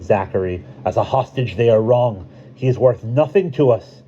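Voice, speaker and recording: Man voicing suspicious warrior, impatient, raised pitch, novel character, faint hiss. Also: animated, moderate pace, mellow, lightly acted. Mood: scornful. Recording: dull lo-fi recording, faint hiss.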